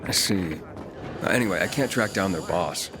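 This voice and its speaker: A man's voice: deep voice, lively intonation, normal speed, background noise. A man playing a young wandering pilgrim in a video game, talking in a casual, dry way. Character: Aiden, young male wandering pilgrim, wry and dogged.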